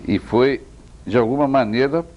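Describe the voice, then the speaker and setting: A man's voice: mid-range voice, moderate pace. A Brazilian man hosting a chatty TV interview, effusive and addressing the viewers as friends. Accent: Brazilian Portuguese. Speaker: interviewer and show host. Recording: dull lo-fi TV sound.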